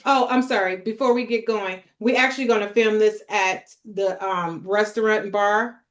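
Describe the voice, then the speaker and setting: A woman's voice: medium pitch, steady tone, moderate pace. A woman with a mid-range voice reads out screenplay directions as host of a table read. Her delivery is plain narration, with casual asides to the group.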